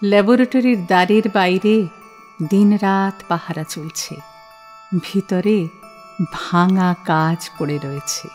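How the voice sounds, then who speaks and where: A woman's voice: medium pitch, takes it slowly, long pauses, a little mellow. A woman giving dramatic literary narration for an audio play. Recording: long reverb, music behind.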